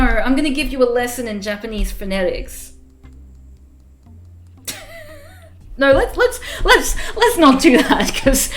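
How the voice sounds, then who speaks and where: A woman streaming a game, chatting casually and rambling with frequent laughs; her first language was Fiji Hindi. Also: on the high side. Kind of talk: casual livestream chat while playing a game.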